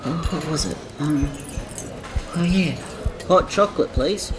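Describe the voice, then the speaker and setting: A man's voice: on the high side, natural voice. Man acting an anxious, stammering man in echoing noise. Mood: nervous, suspicious. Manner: stammering, halting, repetitive, fretful. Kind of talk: first-person inner monologue in audio drama. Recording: noisy, echoing room sound.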